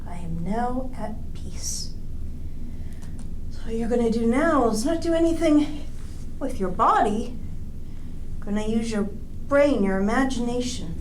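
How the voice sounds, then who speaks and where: A woman hosting a children's storytime, reading picture-book verse and giving simple yoga instructions in a playful, drawn-out, sing-song way. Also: a little high, darkish tone, slight background noise, rough recording. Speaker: children's storytime host leading bedtime yoga. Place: indoors, small draped space, lying on the floor.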